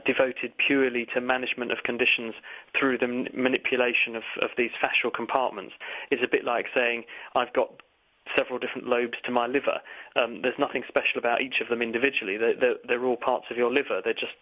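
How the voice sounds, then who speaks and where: Over a narrow phone line, a man explains science for a radio audience. He speaks as an expert at a medium pitch, laying things out in an explanatory way. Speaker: science expert answering listeners' questions. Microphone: phone line into a radio show.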